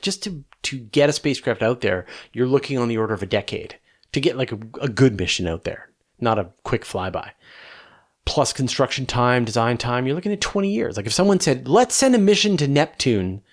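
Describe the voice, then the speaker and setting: A middle-aged man hosting a space question-and-answer show, explaining conversationally with emphatic stresses and rhetorical questions. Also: mid-range voice.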